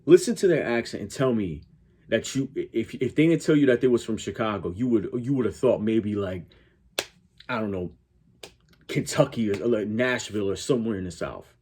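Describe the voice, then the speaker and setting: A man's voice: on the low side, animated, normal speed, darkish tone, a little hiss or hum. A man with a southwestern Connecticut accent close to New York's gives casual, opinionated commentary, rambling and stammering as he corrects himself. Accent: southwestern Connecticut, close to New York. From Connecticut, United States. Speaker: YouTube reaction host.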